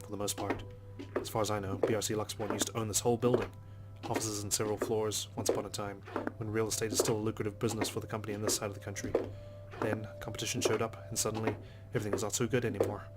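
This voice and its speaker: A man reading a visual novel's narration aloud as a let's play host. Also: lowish voice. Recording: game music behind the voice. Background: game music.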